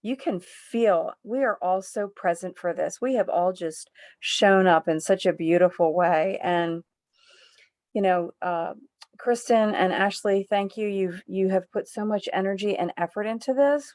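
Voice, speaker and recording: A woman's voice: medium pitch, measured pace, a little mellow. A woman sharing emotionally on a group video call, gushing and rambling with restarts.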